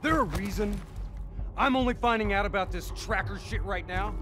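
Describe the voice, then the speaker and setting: A man's voice: sits high, moderate pace, bright, forceful tone, slight background noise. An actor playing a hot-headed, disillusioned soldier in a video game, blunt and confrontational in his delivery. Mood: angry, accusing. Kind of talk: dramatic video game cutscene acting.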